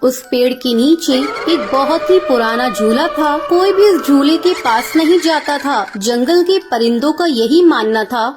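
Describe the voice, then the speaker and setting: A woman's voice: high-pitched, a little mellow. A woman reading scripted narration for a cartoon story, as its narrator. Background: music.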